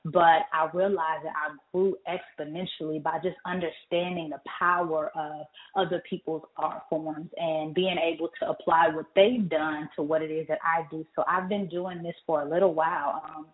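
A woman's voice: low voice, level tone, moderate pace, hardly any pauses, echoey room, phone-quality sound. A woman from California, an author and poet, talking thoughtfully as a guest with many fillers. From California, United States. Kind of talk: casual interview chat.

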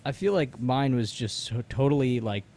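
A man's voice: medium pitch, lively intonation, moderate pace, a little mellow, slight background noise. A man in his thirties interviewing casually, with a rambling, slangy way of feeling out his sentences. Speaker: interviewer, musician.

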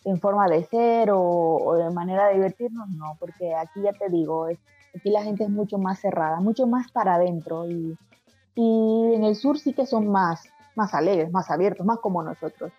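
A woman from Barranquilla with a Colombian Caribbean accent tinged by Spain, slightly husky, telling anecdotes conversationally as an interview guest and acting out quoted exchanges. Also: medium pitch, normal speed, no echo, highs cut off, faint music behind. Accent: Colombian Caribbean with Spanish influence.

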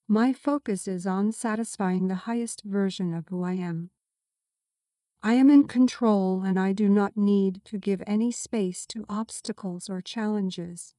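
A woman life coach guiding a meditation, speaking in a slow, soothing way and counting breaths aloud. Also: mid-range voice, warm tone, no room sound, studio quality. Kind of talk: guided meditation.

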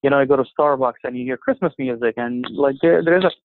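Egyptian guy on a phone line, halting, lots of ums, dry and tinny. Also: medium pitch, no echo. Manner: halting, many fillers and restarts. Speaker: interview guest. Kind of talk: casual conversation.

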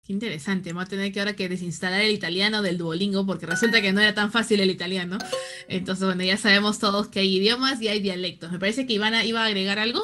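A woman's voice: medium pitch, fairly even intonation, fast, bright, forceful tone, faint music behind. A woman hosting a casual panel conversation and moderating between guests. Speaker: host.

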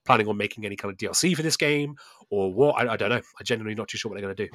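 A man's voice: lowish voice, racing, fairly bright tone, no room sound, clean studio sound. A man co-hosting a casual video game chat, enthusiastic and often checking in with "right?". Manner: enthusiastic, tags statements with "right?". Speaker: podcast co-host.

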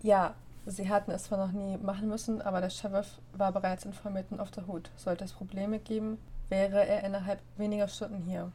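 Mellow-voiced woman with a cold. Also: mid-range voice, flat delivery, fairly quick, few pauses, slight background noise. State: has a cold. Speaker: narrator and host. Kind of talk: reading a story aloud.

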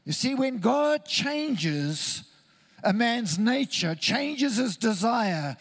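High-pitched gravelly male preacher. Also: sing-song. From Zimbabwe. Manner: earnest, deliberate, emphatic with repetition. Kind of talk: sermon.

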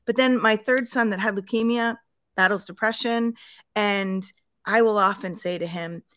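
A woman's voice: medium pitch, normal speed, a bit bright, dry recording. Middle-aged woman hosting, dry close sound. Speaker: podcast host and interviewer. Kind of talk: podcast introduction and conversational interviewing.

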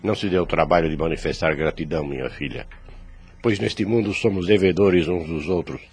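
An actor playing a calm, shrewd priest in his fifties in a radio drama, speaking formally and paternally with a Brazilian accent. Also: deep voice, fairly quick, soft background music. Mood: gentle, reassuring. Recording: clear background noise.

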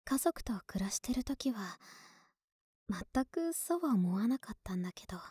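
Breathy, intimate big-sister neighbor played by a woman. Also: a little high, big pitch swings, moderate pace, dry recording, studio quality, exaggerated voice. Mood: tender, confiding. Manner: intimate, soft, often whispering, teasing. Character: affectionate, clumsy, lonely young woman neighbor, playful big-sister type. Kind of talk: Japanese ASMR situation voice drama.

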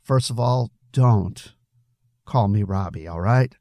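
A man's voice: lowish voice, slow pace, dark tone, dry recording, clean studio sound. A man playing a good-hearted, concerned young man with an earnest, plain-spoken manner in an audiobook story. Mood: friendly, reassuring.

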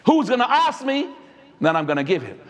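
Lively high-voiced preacher, echoey hall. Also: male voice, fairly quick. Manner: animated preaching, swinging between high and low. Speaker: imam. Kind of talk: Friday sermon. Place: mosque.

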